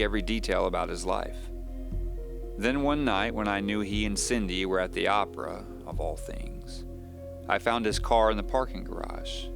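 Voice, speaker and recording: A man playing a secretive, bitter divorced novelist confiding deliberately in a first-person audio drama monologue. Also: sits low, gravelly. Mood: controlled bitterness. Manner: deliberate, confiding, storyteller's delivery. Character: secretive, bitter divorced male suspense novelist confessing on tape. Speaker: narrator playing a fictional novelist.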